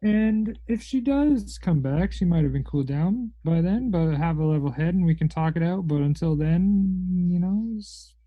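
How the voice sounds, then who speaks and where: Mellow, easygoing young podcast co-host played by a woman. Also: fairly low pitch, lively intonation, moderate pace, few pauses, no echo, muffled recording. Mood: resigned, easygoing. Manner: laid-back, hesitant, rambling. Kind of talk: scripted comedy audio drama.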